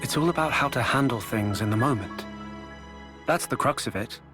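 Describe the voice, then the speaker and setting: A man's voice: mid-range voice, expressive, moderate pace, over music, lightly acted. A man playing a thoughtful, level-headed resistance member in a video game cutscene. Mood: reflective.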